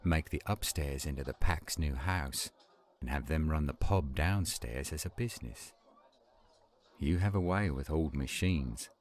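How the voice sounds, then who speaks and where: A man acting an earnest young werewolf pack leader in a roleplay audio drama, speaking in a measured way. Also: very deep voice, a little mellow. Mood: friendly, grateful.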